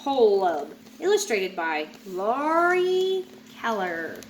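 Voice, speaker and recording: A woman reading a children's storybook aloud in an expressive style. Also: medium pitch, takes it slowly, slight background noise. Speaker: storybook reader.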